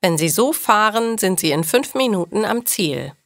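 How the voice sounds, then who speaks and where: A firm but sympathetic policeman in an audio storybook, acted out by a woman narrator. He sounds official and emphatic, each word clear, with strongly moving intonation in a dry recording. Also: medium pitch, animated, moderate pace, fairly bright tone, no room sound. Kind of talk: audio storybook dialogue for learners.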